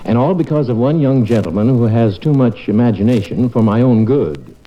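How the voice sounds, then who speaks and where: A man's voice: medium pitch, lively intonation, brisk, close to an everyday voice. A man playing a wry, middle-aged small-town doctor and father in old-time radio sitcom style, with dry understatement. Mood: wry narrating. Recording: muffled old radio broadcast.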